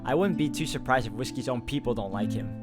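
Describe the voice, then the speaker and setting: Game streamer, mid-pitched man, reads a story and talks through choices over loud music. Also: fast. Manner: thinks aloud with hesitations and asides. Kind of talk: narrating and commenting on a visual novel.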